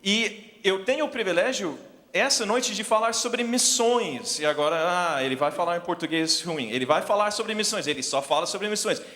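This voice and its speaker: Male preacher, forties, American accent, English native. Notably high voice, halting storyteller delivery, long hall reverb. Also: expressive, pushed and bright. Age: 40s. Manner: self-deprecating, halting search for words. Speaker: preacher, missions speaker. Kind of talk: sermon with personal storytelling. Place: large indoor hall, speaking to an audience.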